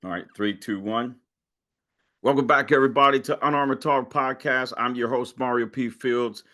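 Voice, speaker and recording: A man hosting a podcast in a casual interview, telling stories in a lively, joking way. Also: medium pitch, normal speed. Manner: lively, joking, animated storytelling. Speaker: podcast host.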